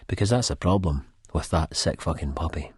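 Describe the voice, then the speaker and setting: A man's voice: extremely low pitch, fairly quick, husky, no room sound, studio quality, heavily acted. A man with a Scottish accent playing a wry, reflective, disillusioned first-person narrator in an audiobook. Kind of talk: first-person literary narration, character acting.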